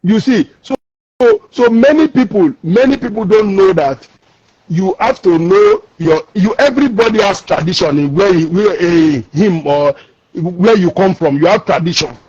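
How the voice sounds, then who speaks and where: A man's voice: high-pitched, lively intonation, normal speed, a little mellow, breathy edge, no echo, highs cut off. A man from Lagos with a Nigerian accent, calling into a discussion show and explaining emphatically, repeating and restarting his words. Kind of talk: call-in discussion.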